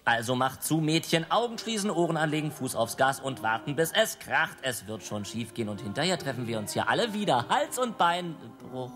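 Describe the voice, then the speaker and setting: Casting: a slangy, teasing devil in a radio play, animated delivery over faint music. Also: male voice, medium pitch, brisk, few pauses. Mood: mocking. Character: sly mocking male devil, Lucifer. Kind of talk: radio drama acting.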